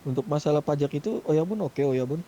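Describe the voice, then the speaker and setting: A man's voice: a little high, lively intonation, brisk, mellow, lo-fi sound, a little hiss or hum. A man casually leading a discussion among gamers in an online roleplay meeting. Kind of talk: casual in-game meeting talk.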